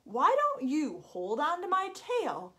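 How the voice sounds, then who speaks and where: A woman voicing a sly, smooth-talking fox with a silky, coaxing manner in a storybook tale. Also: high voice, huge pitch swings, slow, fairly bright tone, pitched above the performer's own voice, exaggerated voice.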